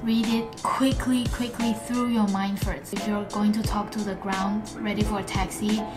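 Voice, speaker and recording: Even-toned young woman over loud music. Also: medium pitch, normal speed. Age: young adult. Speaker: student pilot vlogger. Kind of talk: casual tips to camera. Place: small room indoors. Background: background music.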